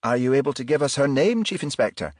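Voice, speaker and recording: A man voicing a brisk, formal woman television reporter with polite, polished delivery in an audiobook. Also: medium pitch, lively intonation, dry recording, studio quality, voice pushed up, natural voice. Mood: formal, inquisitive. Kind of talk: audiobook character voice.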